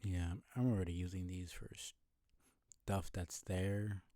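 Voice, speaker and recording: Low-voiced man, mellow streamer. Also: flat delivery, a little slow, no room sound, studio quality. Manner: low-key, musing, thinking aloud. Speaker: video game streamer. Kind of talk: casual live playthrough commentary.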